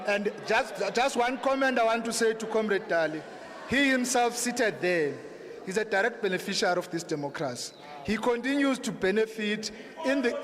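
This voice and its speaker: A South African man making a political speech from the floor of a public debate, haltingly, repeating words and addressing people as comrades. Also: sits high, a bit bright. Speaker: audience member, treasurer of a local political branch. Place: large hall at a town hall meeting. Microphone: handheld microphone held for the speaker. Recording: noisy, reverberant hall PA.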